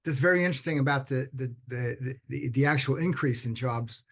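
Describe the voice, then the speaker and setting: A man's voice: medium pitch, fairly quick, gravelly. A man moderating a webinar panel, stumbling and repeating words as he poses questions.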